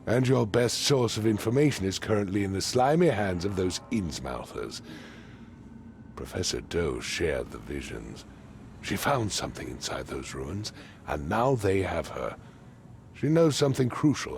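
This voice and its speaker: A man playing a haughty, commanding patrician in a video game, with formal, pompous and deliberate phrasing. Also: low-pitched, big pitch swings, vocal fry, slight background noise, heavily acted. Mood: stern, aggrieved. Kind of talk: video game cutscene acting.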